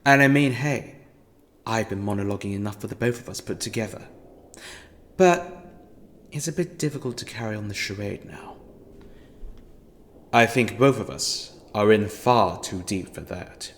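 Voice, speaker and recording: A man's voice: on the low side, moderate pace, long pauses, lightly acted. An actor playing a scheming, theatrical male villain in a fan audio drama, talking to a captive in a grandiose, mock-apologetic monologue. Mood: weary, candid.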